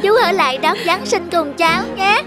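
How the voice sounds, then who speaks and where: An earnest, childlike little boy played by a woman in a cartoon. He sounds sweet and polite over clearly audible music. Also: very high-pitched, moderate pace. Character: sweet, lonely, generous little rich boy. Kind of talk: cartoon voice acting. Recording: music behind the voice.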